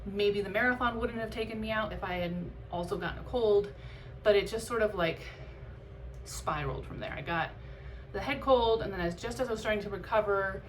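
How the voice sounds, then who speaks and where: A woman's voice: mid-range voice, fairly quick, a little hiss or hum, poor audio. A woman vlogger chatting casually and candidly about her own experience, reflective and conversational with emphatic bursts. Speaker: vlogger and amateur runner. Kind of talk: casual, candid vlog chat.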